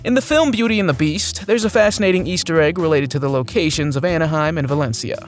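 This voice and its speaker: A man reads scripted video narration in a clearly enunciated, storyteller-like way. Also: on the high side, fast talker. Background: background music.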